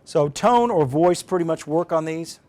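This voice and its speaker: A man's voice: fairly high pitch, expressive, moderate pace, a little mellow. A man presenting an informal, unscripted step-by-step tutorial, explaining plainly in a conversational way as he demonstrates. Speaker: presenter of a live how-to demonstration.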